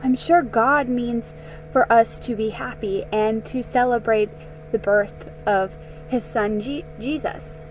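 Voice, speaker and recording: A woman voicing a kindly aunt from a storybook, gently reassuring and measured. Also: a little high, soft background music, low-quality recording.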